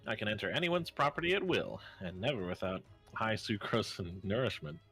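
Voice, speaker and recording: Guy doing a smug eccentric gentleman detective, kinda low voice, wordy and grand, music behind him. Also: lively intonation, fairly quick, husky, full character voice. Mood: proudly explaining. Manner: grandiose, formal, verbose. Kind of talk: fan dub of a video game.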